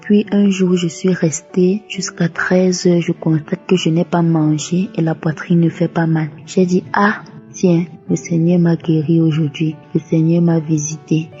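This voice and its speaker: A woman's voice: fairly low pitch, little pitch movement, measured pace, mellow. A devout woman giving a religious testimony and offering thanks. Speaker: testimony giver. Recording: muffled lo-fi audio over music. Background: background music.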